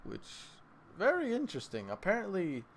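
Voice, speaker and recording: A man hosting his own channel, casually reviewing an anime late at night, rambling and restarting his sentences, with sudden excited bursts. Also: high-pitched, moderate pace. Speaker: anime reaction channel host. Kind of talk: casual solo review talk.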